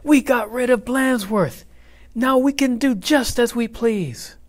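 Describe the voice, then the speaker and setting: Man putting on high-pitched, cheeky schoolkids. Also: takes it slowly, exaggerated voice. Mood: excited. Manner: excitable, cheeky. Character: gang of bored, scheming schoolchildren.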